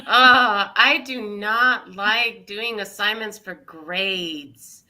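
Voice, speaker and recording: A woman with a very bright, sharp voice gives short answers as an educator on a panel. She speaks slowly and deliberately. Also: mid-range voice.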